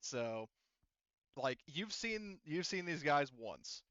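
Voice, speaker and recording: A man acting as game master, narrating a tabletop roleplaying game casually and haltingly, thinking aloud. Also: a little high, big pitch swings, a bit bright, clear, resonant tone, no room sound, lo-fi sound.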